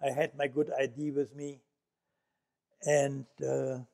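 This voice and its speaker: An older man with a Dutch accent gives a reflective, storytelling lecture from an auditorium stage, pausing often on fillers. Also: mid-range voice, flat delivery, gravelly, a little mellow. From Netherlands. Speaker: Holocaust survivor speaker.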